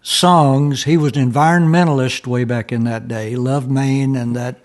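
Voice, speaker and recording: A man's voice: mid-range voice, fairly even intonation, moderate pace. A man, a musician guest, telling stories in a casual, rambling chat and searching for his words.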